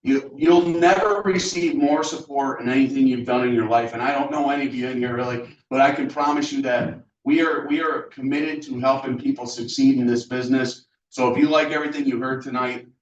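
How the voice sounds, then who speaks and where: Laid-back man pitching in an echoey room. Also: steady tone, a little fast, hardly any pauses, a little hiss or hum. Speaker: sales rep addressing guests. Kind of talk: relaxed sales pitch. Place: meeting room with an audience. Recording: dull, echoey room, far from mic.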